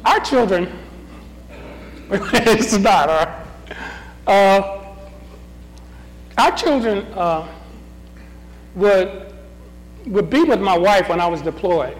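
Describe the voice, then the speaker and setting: A man's voice: high-pitched, animated, drawn-out words, pauses often. A middle-aged man leading a group Bible discussion, asking questions, restarting his sentences and often chuckling. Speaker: Bible study leader. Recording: reverberant meeting room.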